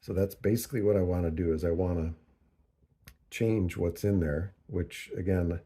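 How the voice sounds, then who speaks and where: A man narrating a casual hands-on tutorial, thinking aloud in a deliberate, halting way as he works through figures. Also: deep voice, mellow, slightly rough. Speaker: host of a DIY audio tutorial.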